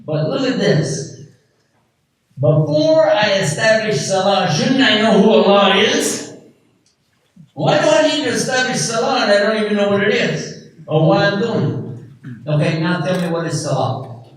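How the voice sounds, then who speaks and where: A man giving an animated religious talk to a live audience, often asking the crowd questions. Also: sits high, measured pace. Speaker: speaker at an Islamic event. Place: large lecture hall with audience. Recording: echoey hall from far off, faint noise.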